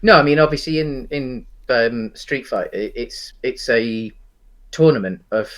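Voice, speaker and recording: A man co-hosting a podcast, discussing film casually in a halting, explanatory way. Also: medium pitch. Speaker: podcast co-host.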